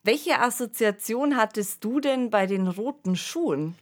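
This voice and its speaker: A woman's voice: fairly high pitch, lively intonation, a little slow. A woman hosting a podcast discussion in a conversational, reflective way, thinking aloud. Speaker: podcast host. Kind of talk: conversational discussion of a radio play.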